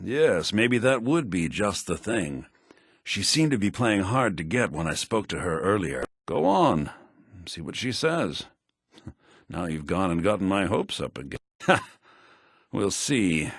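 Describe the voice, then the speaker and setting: An actor playing a pompous, lecherous and scheming wealthy merchant in a fantasy video game, with a raspy voice. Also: male voice, low voice, big pitch swings, a little slow, no room sound, clean studio sound, full character voice. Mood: lecherous, smug. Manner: pompous, smug, theatrical.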